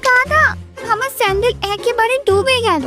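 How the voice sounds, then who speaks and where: A woman playing a feisty, easily irritated young city girl in a romantic audio story, huffy and quick to retort. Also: very high-pitched, music in the background. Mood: huffy, annoyed.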